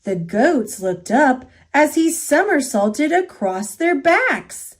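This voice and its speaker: A woman with an American accent reading a children's story aloud in an expressive, deliberate manner. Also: high voice. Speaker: storybook reader.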